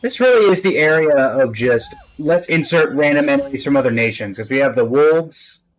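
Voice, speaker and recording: A man's voice: a little high, expressive, normal speed, rough recording. A man giving casual gameplay commentary and reading dialogue choices aloud as he plays. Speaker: game streamer playing through a story quest. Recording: faint game music behind.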